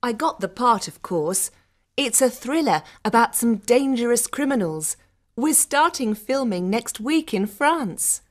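A woman's voice: a little high, lively intonation, a little slow. A woman playing a proud, self-assured young friend in an audiobook drama. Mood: pleased.

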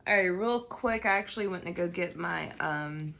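A woman's voice: lowish voice, moderate pace, creaky, a bit bright. Nasal woman with a cold. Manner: chatty, swears freely, many fillers. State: coming down with a cold. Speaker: tarot reader. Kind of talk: casual tarot reading to camera. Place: indoors at a floor-level reading table. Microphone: camera pointed at the cards, speaker off-frame.

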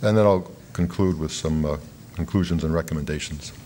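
A middle-aged man giving a keynote talk at a lectern in a large hall, speaking in a measured, explanatory way with fillers and restarts. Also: extremely low pitch, mellow, slight background noise. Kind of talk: conference keynote lecture.

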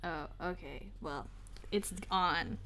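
A woman's voice: low voice, slow. Casual woman on a noisy recording. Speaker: voice actress. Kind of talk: casual chat during a recording session.

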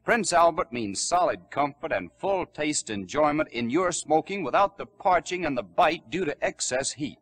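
A male announcer delivers an old radio commercial with very animated intonation. His voice is rather high and husky, on a vintage broadcast recording. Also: moderate pace, hardly any pauses. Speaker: radio announcer reading a sponsor ad. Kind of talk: 1940s scripted radio commercial.

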